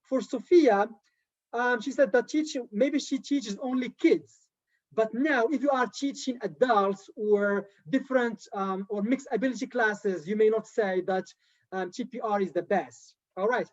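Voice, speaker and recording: A man's voice: high voice, measured pace. Male TESOL trainer on a video call, upbeat and repetitive lecturing, muffled lo-fi sound. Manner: lively, encouraging lecturer who repeats key points. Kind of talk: live online teacher training.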